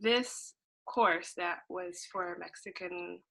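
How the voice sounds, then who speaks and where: A woman's voice: fairly low pitch, takes it slowly, vocal fry, fairly bright tone. A young woman hosting a podcast interview, asking questions hesitantly with many fillers. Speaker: student interviewer, podcast host.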